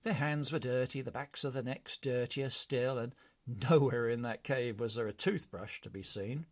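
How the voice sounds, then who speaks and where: A man narrating a children's storybook aloud in an expressive, theatrical read-along style. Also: medium pitch, moderate pace.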